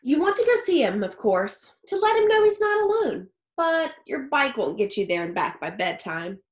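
A woman in her thirties reading a picture book aloud like a teacher, expressively and with deliberate phrasing for young listeners. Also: high voice, very animated. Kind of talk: expressive children's read-aloud. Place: small home office or study with wooden shelves. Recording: roomy, echoey indoor sound.

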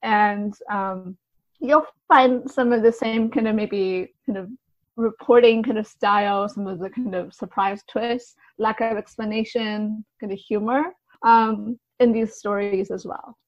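A woman's voice: mid-range voice, fairly even intonation, unhurried, dry recording, highs cut off. A woman answering casually as a podcast guest, in a tentative, hedging way. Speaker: guest, translator and poet.

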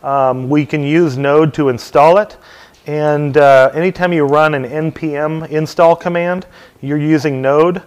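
A middle-aged man teaching a hands-on software install at a lectern in a dim meeting room, talking casually with many ums and uhs. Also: a little high, fairly even intonation, measured pace, clean tone. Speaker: technical trainer. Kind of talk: hands-on tech tutorial talk.

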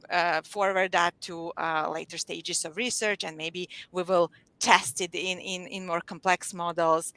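A woman's voice: lowish voice, a bit bright, no room sound. A woman from Serbia with a Serbian accent, explaining her research on a video call with many hesitant restarts. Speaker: lipid scientist panelist. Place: small indoor room. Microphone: headset on video call.

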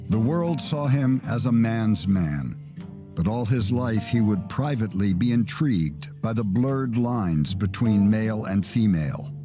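Low-voiced man narrating over guitar music. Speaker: documentary narrator. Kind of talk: scripted documentary narration. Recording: music under the voice. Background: acoustic guitar music.

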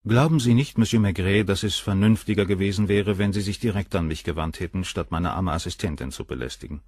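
A man's voice: low voice, quick pace, a little mellow, slightly rough, no room sound, clean studio sound. An actor playing a proud, coldly condescending male surgeon in a crime radio play, speaking in a composed, articulate way. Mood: cool, condescending. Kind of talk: German radio crime drama acting.

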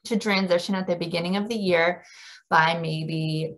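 A woman's voice: on the low side, steady tone, normal speed, a bit bright, low-quality recording. A woman presenting an informal training workshop for teachers over a video call.

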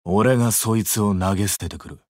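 Casting: male actor as laconic young guide, anime game, blunt and cool, lowish pitch, dry sound. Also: fairly quick, darkish tone, dry recording, lightly acted. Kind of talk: anime mobile game voice acting.

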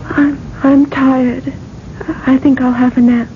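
Frail, high-voiced, heartbroken young wife. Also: female voice, little pitch movement. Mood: weary, bitter. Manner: halting, weary. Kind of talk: 1980s radio drama acting. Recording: tinny, noisy old radio recording.

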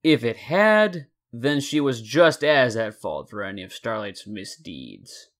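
A man reads fan fiction as its narrator. His voice is medium in pitch, and he delivers the prose deliberately, with a storyteller's sense of drama. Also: very animated, a bit bright.

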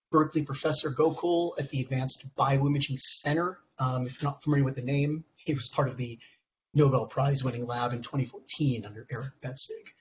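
A man in his thirties, hoarse from a cold, presenting research slides over a video call in a halting way, with many ums and restarts. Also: medium pitch, a bit bright, slight background noise. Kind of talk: technical conference talk. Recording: dull lo-fi call audio.

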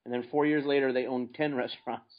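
A man's voice: mid-range voice, steady tone, quick pace. An American man talking casually and plainly as the guest in an investing interview. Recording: dull lo-fi internet call.

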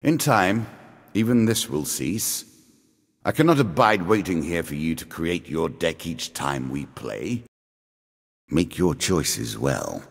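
An actor playing an ancient, immortal dealer in a fantasy card game, speaking in a theatrical, measured, ominous and condescending way. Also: male voice, sits low, animated, vocal fry, heavily acted. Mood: impatient, brooding. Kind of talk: scripted fantasy game character lines. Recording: long reverb on the voice.

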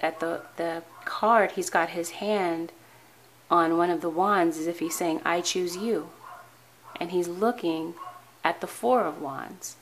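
A woman reading tarot cards for her viewers in a calm, earnest way, her voice hoarse and tired, often restarting her words. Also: on the low side, a little hiss or hum, rough recording. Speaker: tarot reader. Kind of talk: weekly tarot reading to camera. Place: indoor tabletop with cards, voice off camera.

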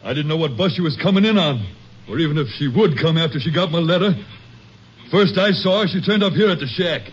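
Agitated high-voiced rural Texan. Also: male voice, expressive, fairly quick, slightly rough. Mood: defensive. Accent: American. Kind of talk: old-time radio drama acting. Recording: muffled, noisy vintage radio recording.

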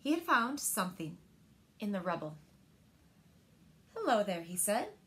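A woman in her thirties reading a picture book aloud to children in a gentle, deliberate manner, pausing to ask them questions. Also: mid-range voice, sing-song. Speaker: library storyteller. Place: indoors, small living room by windows.

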